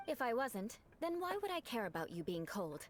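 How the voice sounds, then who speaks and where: Aloof game noblewoman: formal, theatrical, higher register with wide melodic swings. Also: sing-song, moderate pace, slight background noise. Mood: teasing, aloof. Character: haughty elegant young noblewoman knight, vengeful yet caring.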